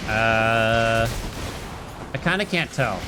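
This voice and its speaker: A man chatting casually with viewers as he livestreams a game, rambling and playful, breaking into nonsense sounds. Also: mid-range voice. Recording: loud game music behind voice. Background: video game music.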